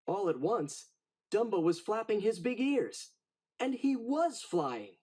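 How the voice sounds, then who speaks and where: A man narrating a children's storybook in an expressive, dramatic read-aloud style. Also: sits high, takes it slowly.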